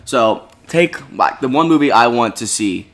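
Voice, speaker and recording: A man's voice: mid-range voice, expressive, moderate pace, a bit bright. A young man in a casual group chat, jokey and teasing. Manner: jokey, teasing, laughs often. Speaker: co-host.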